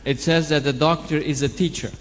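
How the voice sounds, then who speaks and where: A middle-aged man gives a religious talk in short, halting phrases of speech, pausing for an interpreter. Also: on the high side, steady tone. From Romania. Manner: halting, non-native phrasing. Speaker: guest speaker, doctor and lay preacher. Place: large church hall. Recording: long hall reverb.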